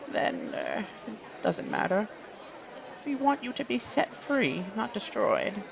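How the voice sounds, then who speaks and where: A man voicing a frail, forgetful old village woman who speaks gently and halts mid-sentence. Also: sits high, very animated, takes it slowly, creaky, noisy background, voice pushed up, heavily acted. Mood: gentle pleading.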